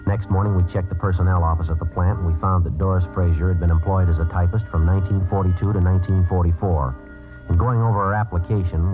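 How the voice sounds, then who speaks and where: A man playing a terse, businesslike police detective in a radio crime drama, clipped, deadpan and matter-of-fact. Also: low-pitched, lightly acted. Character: terse, businesslike male police homicide detective, narrating his case. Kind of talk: 1950s-style radio crime drama acting and narration. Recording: dull vintage radio recording.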